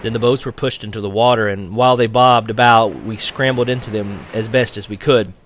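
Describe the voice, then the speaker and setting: A man's voice: lowish voice, steady tone, brisk. Man reading aloud, some background noise. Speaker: teacher reading a novel aloud to a class. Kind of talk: expressive audiobook-style read-aloud.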